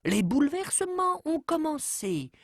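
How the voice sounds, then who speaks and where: A woman reading scripted narration for an educational cartoon in an expressive, deliberate storybook manner. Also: high-pitched, dry recording, studio quality.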